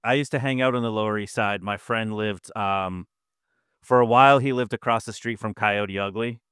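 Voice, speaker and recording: Massachusetts man, podcast host, easygoing storyteller, smooth clean tone, dry recording. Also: fairly low pitch, normal speed, no echo. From Massachusetts, Nantucket. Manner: relaxed, chatty storytelling. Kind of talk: casual podcast conversation.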